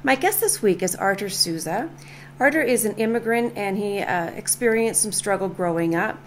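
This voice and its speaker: Woman host of a talk show, mid-pitched, faint hum behind her. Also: lively intonation, normal speed. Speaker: radio host. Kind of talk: interview.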